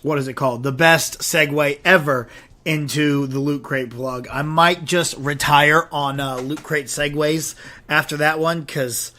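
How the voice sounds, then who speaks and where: A man's voice: a little high, a little slow. A man hosting his own podcast in a loose, rambling chat, sounding nasal and stuffed up from a head cold. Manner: rambling, relaxed, talks straight to listeners. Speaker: podcast host. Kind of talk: casual solo podcast chat.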